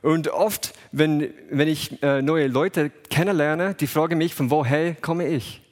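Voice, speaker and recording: A man who grew up in England and the USA, with English as his first language, reads scripture and preaches in German with an English accent, pausing often and restarting words. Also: a little high, big-room sound. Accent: English-speaking. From England, raised in USA. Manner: halting non-native German with restarts. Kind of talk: bible reading and sermon.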